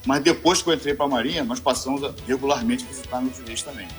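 Measured formal man. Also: medium pitch, steady tone, faint music behind. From Niterói, Brazil. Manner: measured, formal, fills pauses with constant "né". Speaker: naval college commander, guest. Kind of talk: interview answers.

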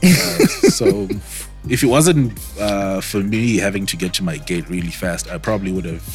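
A man, a podcast guest and DJ, chatting casually in a relaxed, rambling way that often stumbles over words. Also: low-pitched, moderate pace, faint music behind. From Zimbabwe. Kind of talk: casual chat.

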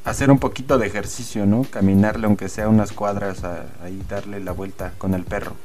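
A man's voice: fairly low pitch, steady tone, fairly quick, darkish tone, soft background music. A man with a Mexican Spanish accent, co-hosting a casual chat, hesitant and filler-heavy, laughing easily. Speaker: co-host. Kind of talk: casual banter.

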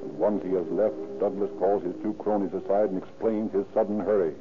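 Low-pitched vintage radio narrator, a man. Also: fairly even intonation, brisk, noisy background. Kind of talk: scripted 1940s radio drama narration. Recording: tinny old radio broadcast. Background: prominent music.

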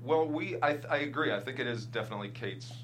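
A man's voice: medium pitch, lively intonation, fast, fairly bright tone. A man talking casually as a screenwriter being interviewed about his script. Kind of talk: casual discussion of their script. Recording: audible background hum and noise.

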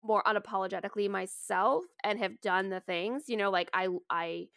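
An American woman in her late thirties from Maine, chatting casually and reflectively in halting phrases. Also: medium pitch, steady tone, creaky, a bit bright, no room sound, clean studio sound. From Maine, USA. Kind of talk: casual chat.

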